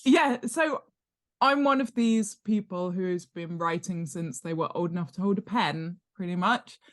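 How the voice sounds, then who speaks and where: Slow-paced woman in a casual interview. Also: lowish voice. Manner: playful, joking. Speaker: podcast guest, author.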